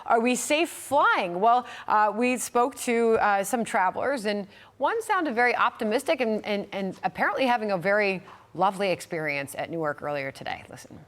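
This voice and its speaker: A woman's voice: mid-range voice, animated, moderate pace. Conversational female news anchor. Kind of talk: live news interview, conversational.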